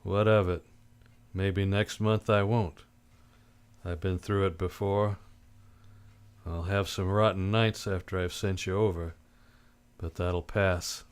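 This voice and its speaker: A man's voice: sits low, steady tone, takes it slowly, pauses often, clean tone. A man playing a cynical, unsentimental private detective in a live old-time radio drama, with terse, blunt, slangy tough-guy delivery. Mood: torn, cold.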